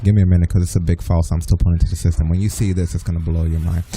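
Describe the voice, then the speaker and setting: A man's voice: deep voice, little pitch movement, rapid-fire, warm tone, a little hiss or hum. A young man doing casual gameplay commentary on a stream, teasing and self-assured. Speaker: game streamer.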